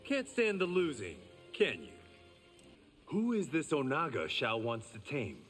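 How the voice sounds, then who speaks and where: A man's voice: medium pitch, huge pitch swings, a little slow, fairly bright tone, in a large hall, faint music behind. An actor voicing an honourable reformed gangster swordsman in a fighting game, dry and self-assured.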